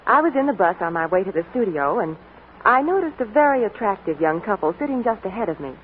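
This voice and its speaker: A woman's voice: medium pitch, very animated, quick pace, natural voice. An actress playing a chatty, bubbly young woman in a 1940s radio soap commercial. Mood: gushing. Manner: bubbly, gushing. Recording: old AM broadcast, narrow and hissy.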